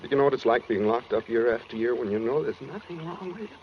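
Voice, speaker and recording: An American man playing a brooding, coldly calm escaped killer in a 1940s radio suspense drama, speaking matter-of-factly with quiet menace. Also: mid-range voice, fast talker, natural voice. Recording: dull 1940s radio recording with noise.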